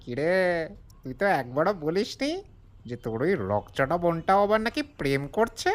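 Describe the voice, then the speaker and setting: A man's voice: a little high, very animated, unhurried. An actor playing a cheeky, nervy young man, the chatty troublemaker of his gang in a comedy-mystery audio drama. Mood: teasing, surprised. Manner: chatty, teasing, shaky when scared.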